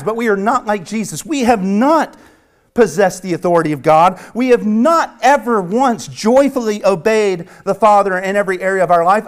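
A man from the American South preaching a sermon with a Southern accent, earnest and emphatic, repeating phrases and dropping at times to a hush. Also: high voice. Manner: swings from hushed near-whisper to loud emphatic preaching. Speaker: pastor.

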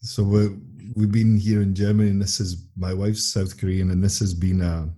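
A man in his forties with a very dark, warm tone and a husky texture. He speaks in a laid-back, reflective way and keeps his voice soft. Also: low voice, level tone, moderate pace. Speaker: interview guest. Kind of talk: casual interview chat.

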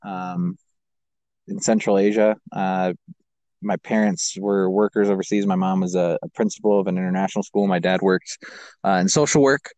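A man's voice: low voice, steady tone, normal speed, darkish tone, dry recording. A man in his twenties, an American who grew up abroad, telling personal stories casually as a podcast guest. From American who grew up in Kazakhstan and Japan. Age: 20s. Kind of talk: casual personal storytelling in an interview.

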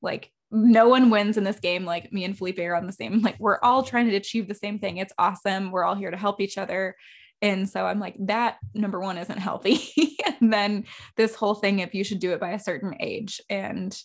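A woman in her thirties hosts a podcast in a chatty, self-deprecating way. Her voice sits at a middling pitch for a woman, and her sentences run on quickly. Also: steady tone. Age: 30s. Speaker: podcast host. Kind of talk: casual interview chat.

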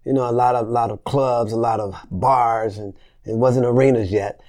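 A man who grew up in Memphis, a vocal coach and producer, telling animated stories in a casual interview and acting out the voices he quotes. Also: medium pitch, moderate pace. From Memphis, Tennessee.

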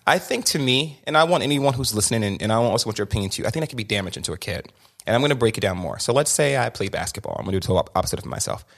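A man's voice: medium pitch, expressive, very fast, gravelly, a bit bright. A young man hosting a podcast, telling his story in a candid, emphatic way and often restarting and repeating words. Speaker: podcast host.

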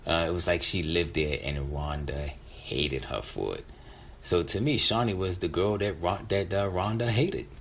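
A man's voice: low-pitched, moderate pace, gravelly, a little hiss or hum. A middle-aged man telling a personal story in a casual, conversational way as a storyteller.

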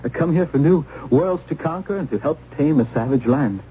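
A man's voice: on the high side, expressive, brisk, mellow, natural voice. An actor playing a compassionate, earnest young clergyman of colonial times, formal and theatrical in a radio drama. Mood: gentle, earnest. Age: young adult. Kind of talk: old-time radio acting. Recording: dull, hissy old radio recording.

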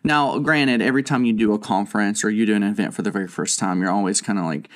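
A man's voice: fairly low pitch, fast talker. A man hosting a ministry podcast, talking casually in a chatty, rambling, self-deprecating way. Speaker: podcast host, children's ministry leader. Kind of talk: casual chat.

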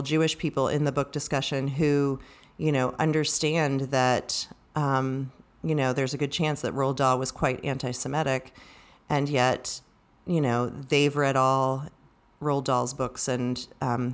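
A middle-aged woman speaking reflectively and conversationally as a co-host in a book discussion. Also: rumbling low. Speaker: podcast co-host, librarian.

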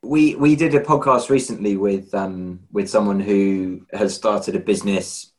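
Lower-voiced male podcast host, hesitant. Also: a little slow, darkish tone. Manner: hesitant, repeats words while framing questions. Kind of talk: conversational interview.

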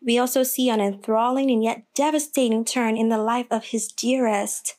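A woman giving a scripted narrated introduction to an audio drama. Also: fairly high pitch.

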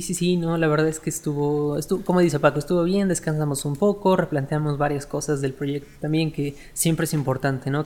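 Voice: mid-range voice, a little mellow. A podcast co-host speaking in a friendly way, repeating words as they go. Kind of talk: podcast chat.

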